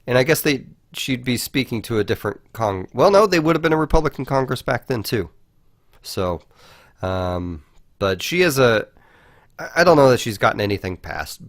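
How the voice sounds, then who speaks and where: A man's voice: medium pitch, no echo, clean studio sound. A man co-hosting a podcast, talking casually and informally with frequent swearing. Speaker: podcast co-host.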